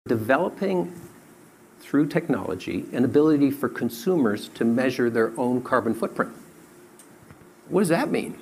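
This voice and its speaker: Man, gravelly, explanatory and promotional, faint background noise. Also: medium pitch, sing-song, moderate pace, long pauses, darkish tone. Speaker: company president. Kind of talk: panel talk.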